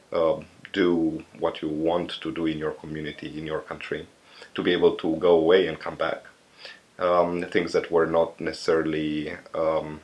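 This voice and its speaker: A man in his thirties from Romania, giving reflective interview answers in a thoughtful, halting way with many pauses and restarts. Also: extremely low pitch.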